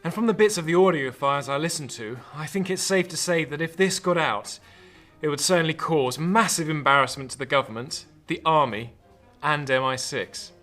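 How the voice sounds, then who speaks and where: A man's voice: on the high side, normal speed, a bit bright, close to an everyday voice. A man acting in a radio drama as an anxious, protective intelligence officer, urgent and cautioning. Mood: guarded, urgent. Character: anxious, earnest male intelligence officer, protective of his lover. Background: music.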